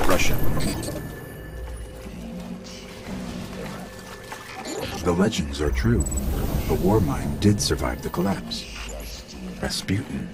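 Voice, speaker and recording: A small robotic guide from a sci-fi game, speaks in a deep, very animated voice. The delivery is clipped, earnest and urgent, like a scout giving a briefing. Music plays clearly behind the lines. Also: male voice. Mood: awed, solemn. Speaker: companion guide. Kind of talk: scripted video game dialogue.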